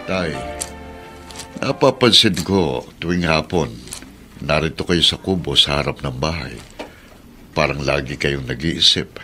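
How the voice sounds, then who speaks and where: An actor playing a concerned, respectful middle-aged son-in-law in a Filipino radio drama, speaking politely. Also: male voice, a bass voice, a little slow, pauses often, bright, forceful tone, soft background music, heavily acted. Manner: polite, deferential.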